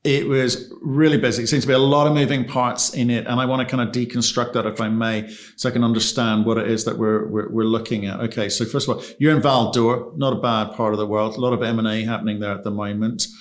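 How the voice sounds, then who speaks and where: A man hosting a business interview, asking questions in a relaxed, conversational way and often repeating words. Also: medium pitch, fast talker, hardly any pauses. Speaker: interview host.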